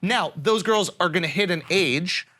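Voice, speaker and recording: A man's voice: sits high, moderate pace, pushed and bright. A man hosting a casual comedy podcast, talking in an emphatic, profane, slangy way. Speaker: podcast host.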